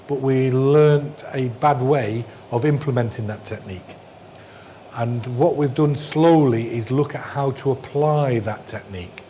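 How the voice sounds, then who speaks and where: A man's voice: mid-range voice, warm tone, slight background noise, low-quality recording. A middle-aged man teaching endoscopy hands-on, giving short blunt instructions and dismissive asides. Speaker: endoscopy trainer. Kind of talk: hands-on teaching, coaching a trainee. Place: hospital endoscopy training room.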